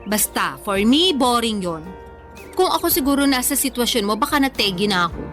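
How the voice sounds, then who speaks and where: A woman's voice: a little high, big pitch swings, brisk, fairly bright tone. An actress playing a playful, food-loving young woman in a Tagalog radio drama, chatting casually in Taglish with a Filipino accent and a teasingly defensive manner. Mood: playful, insistent. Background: background music.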